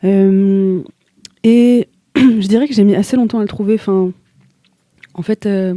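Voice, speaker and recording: A young woman from Italy, a singer being interviewed, talking in a relaxed, slangy way and often pausing to search for her words. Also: mid-range voice, no room sound, clean studio sound. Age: young adult. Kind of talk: casual interview chat.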